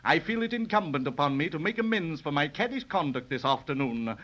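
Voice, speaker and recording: An actor playing a boastful, long-winded gentlemanly stork with a British accent and flowery manner, in a 1930s children's radio play. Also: male voice, a little high, expressive, fairly quick. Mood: pompous. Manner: pompous, flowery, wordy, hemming. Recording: muffled old 1930s radio recording.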